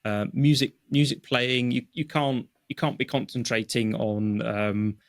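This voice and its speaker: A man's voice: mid-range voice, steady tone, a little slow, dry recording. Thoughtful man with a dry close sound. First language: English. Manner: thoughtful, many fillers and restarts. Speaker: guest, technical writer. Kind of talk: conversational interview. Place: home office.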